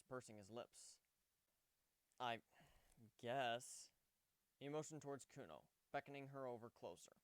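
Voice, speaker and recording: A man's voice: mid-range voice, smooth, no echo. A man giving a dramatic, scripted narration of a story. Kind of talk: dramatic fan fiction reading, scripted narration.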